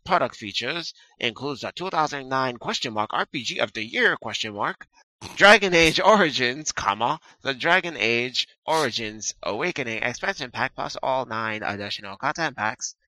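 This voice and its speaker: Young man, deadpan mock-announcer reading, crude asides, bright forward tone, dry close mic. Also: medium pitch, normal speed, no room sound, low-quality recording. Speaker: co-host. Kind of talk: comedic reading of box copy.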